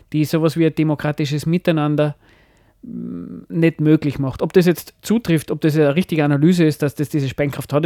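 A man's voice: a little high, warm tone, no echo, clean studio sound. A man, co-hosting a podcast, talking thoughtfully with many hesitations and restarts. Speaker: podcast co-host. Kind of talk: casual discussion.

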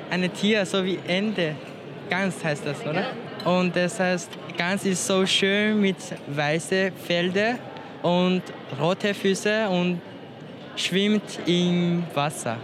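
Street vox pop: higher-voiced man, slow, halting German, traffic noise behind. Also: steady tone. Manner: halting, simple non-native German. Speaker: passerby. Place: outdoors on a town square.

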